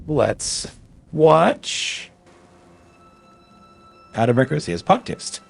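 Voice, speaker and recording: Gravelly, lively man streaming a game. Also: fairly high pitch, extremely slow, faint music behind. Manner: chatty, reacting aloud as he plays. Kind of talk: casual gameplay commentary.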